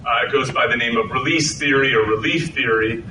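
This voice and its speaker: A man's voice: fairly even intonation, fairly quick. Man lecturing in an echoey hall. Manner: conversational, self-deprecating, frequent restarts. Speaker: researcher giving a talk. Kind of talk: lecture to an audience. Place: hall with an audience. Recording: echoey hall, distant mic, rumbling noise.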